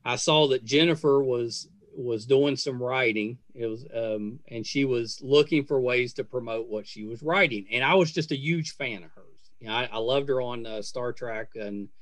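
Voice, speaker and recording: A man's voice: medium pitch, moderate pace, few pauses, a bit bright, dry recording. A middle-aged man hosting a podcast in a casual conversational interview style, effusive and full of praise. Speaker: podcast host.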